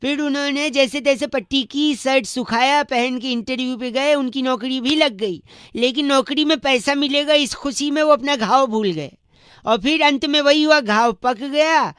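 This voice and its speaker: High bright voice, man playing pestering comic prank caller, argumentative, radio comedy. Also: normal speed, no echo, clean studio sound. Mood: insistent. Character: Bauaa, cheeky, pestering, argumentative male prankster. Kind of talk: comic prank phone call.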